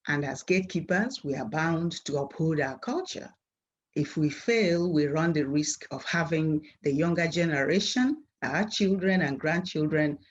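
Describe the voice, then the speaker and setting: Husky Nigerian woman giving a formal lecture. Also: sits low. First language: Igbo. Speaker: university professor presenting at a conference. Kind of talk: formal scripted academic lecture.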